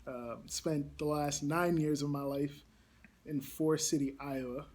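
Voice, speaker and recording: A young man from Barbados, a campus ministry coordinator, speaking thoughtfully in a casual interview with many pauses and ums. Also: fairly high pitch, steady tone, darkish tone.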